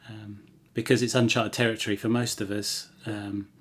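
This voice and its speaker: A man co-hosting a podcast, talking in a casual, halting way. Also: lowish voice, level tone, breathy edge. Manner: hesitant, halting phrasing. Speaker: podcast co-host. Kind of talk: casual reflective chat.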